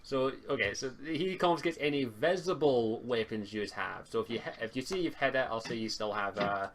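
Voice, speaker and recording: A man's voice: mid-range voice, brisk, crowd noise. A man acting as game master, improvising narration for a tabletop roleplaying game in a hesitant, stammering way.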